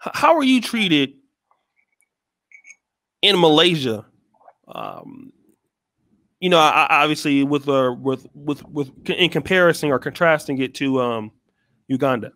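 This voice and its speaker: Guy hosting a video call w/ headset mic, kinda high voice, dry sound, stumbles into his questions. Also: measured pace, pauses often, no echo. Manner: relaxed, hesitant, restarts questions. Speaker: host. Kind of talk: casual interview over video call. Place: small room indoors. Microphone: headset and studio mic.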